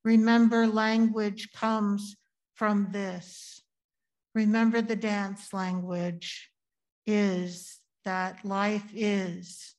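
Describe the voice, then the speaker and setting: Woman reading a poem at church, slow and deliberate, leans on key words. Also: medium pitch, flat delivery, a little mellow. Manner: deliberate, emphatic recitation. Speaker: reader at a church service. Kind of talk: poetry reading.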